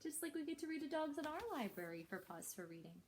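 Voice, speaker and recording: A woman's voice: high-pitched, big pitch swings, fast talker, a little hiss or hum. A woman with a chatty, informal manner, speaking casually as a children's storytime host. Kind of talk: casual introduction to a children's read-aloud.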